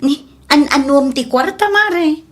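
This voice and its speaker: A woman's voice: high voice, animated, measured pace. A woman playing a practical middle-aged wife and neighbour in an Ilocano radio drama, inquisitive and matter-of-fact. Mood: cautious, practical.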